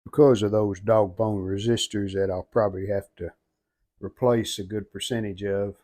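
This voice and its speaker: A man's voice: fairly low pitch, fairly even intonation, moderate pace, dark tone. A middle-aged man giving unscripted how-to commentary at a workbench in a plain, folksy, matter-of-fact way. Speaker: hobbyist repair vlogger. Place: indoor home workshop at a workbench.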